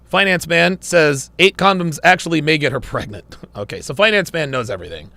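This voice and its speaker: A man's voice: on the high side, lively intonation, brisk, gravelly, pushed and bright, no room sound. A man hosting a casual livestream, reading viewer comments aloud, laughing often and sounding wry, a little strained as he loses his voice. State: losing his voice. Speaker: livestream host reading super chats.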